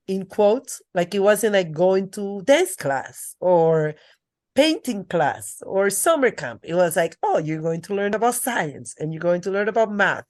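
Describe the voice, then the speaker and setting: Brief: lower-pitched middle-aged woman, earnest storyteller on a podcast. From Dominican Republic. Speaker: guest. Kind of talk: podcast interview.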